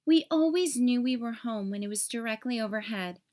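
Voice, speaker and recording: A protective mother reindeer in a children's storybook, voiced by a woman reader. Her voice is fairly high, with a soothing, wistful manner. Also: animated, fairly quick, mellow, natural voice. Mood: fond.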